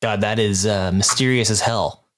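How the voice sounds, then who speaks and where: A man's voice: on the low side, steady tone, normal speed. A man hosting a podcast in a casual, chatty style as he interviews a cast. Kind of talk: casual chat.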